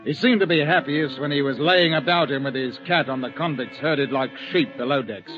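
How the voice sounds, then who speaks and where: A man's voice: a little high, normal speed, natural voice. A man playing a grave old-time sea captain. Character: weary sea captain, middle-aged man, upright. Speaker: narrator and ship's captain. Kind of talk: 1950s radio drama narration. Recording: muffled old radio recording with music.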